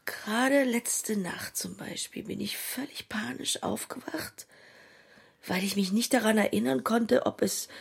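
An actress playing a candid, giggly middle-aged mother of ten in a radio play, confessional and self-mocking in a husky voice. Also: medium pitch, full character voice. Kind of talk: naturalistic radio play dialogue.

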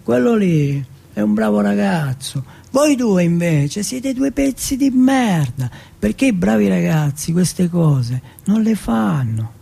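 A man's voice: high-pitched, sing-song, slow pace, creaky, few pauses, warm tone, slightly rough, full character voice. A man playing a big young jailhouse bully in a stage monologue, mocking and sadistic, coaxing and ordering with coarse, repeated commands. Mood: scornful. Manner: taunting, coaxing, repetitive commands, coarse swearing.

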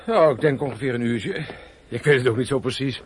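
A man playing an obliging, unsuspecting gentleman passer-by in a radio drama, with a husky voice. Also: medium pitch, fairly quick, a little hiss or hum, natural voice. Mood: helpful. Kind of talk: Dutch radio drama acting.

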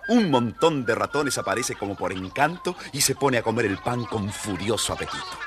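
Husky man, theatrical children's narration, animated intonation, dramatic pauses, faint music behind. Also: fairly low pitch. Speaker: storyteller.

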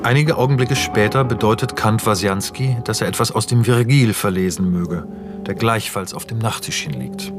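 A man's voice: on the low side, moderate pace. A man narrating scripted stage directions for a radio play in a precise, matter-of-fact way. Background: music.

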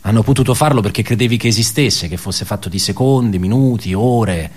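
An actor playing an embittered, pedantic male office worker in a radio theatre monologue, halting and repeating his words as he puts rhetorical questions. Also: lowish voice, slightly rough. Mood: brooding, bitter.

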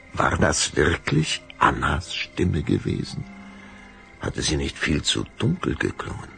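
A man's voice: extremely low pitch, creaky, pushed and bright, exaggerated voice. A man narrating a children's vampire audio drama as a dramatic, suspenseful storyteller.

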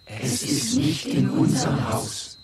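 A man's voice: slow pace, darkish tone, natural voice. An actor playing a townsman who chants insistent reassurance in a 1960s German radio play. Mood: uneasy reassurance. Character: townsman insisting his house is healthy.

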